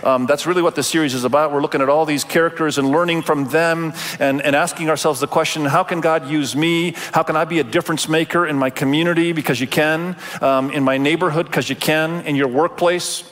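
A middle-aged male pastor raised in Indonesia, preaching a Sunday sermon in a relaxed, conversational way with many fillers and restarts. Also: on the high side, a little fast, hardly any pauses. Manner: warm conversational delivery with frequent fillers and restarts. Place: large church auditorium. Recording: long church reverb.

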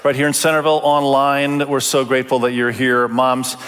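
Pastor preaching in a reverberant sanctuary. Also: male voice, mid-range voice, monotone, brisk. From Indonesia. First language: Indonesian. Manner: warm conversational delivery with frequent fillers and restarts. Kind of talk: Sunday sermon. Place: large church auditorium. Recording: long church reverb.

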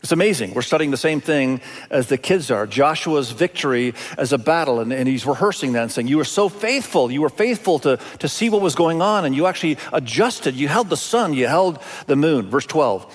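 A man from Indonesia, a native Indonesian speaker, preaching a sermon as a pastor in an emphatic way with deliberate pauses and repetition. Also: on the high side, animated. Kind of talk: expository sermon. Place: large hall, speaking to a congregation. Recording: reverberant large hall sound.

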